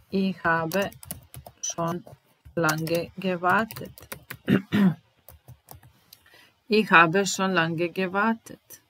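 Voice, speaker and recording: A woman in her thirties with a Serbian accent, teaching German slowly, repeating each phrase while typing, in a small room at home. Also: on the low side, fairly bright tone, slight background noise, rough recording. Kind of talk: slow, deliberate language lesson.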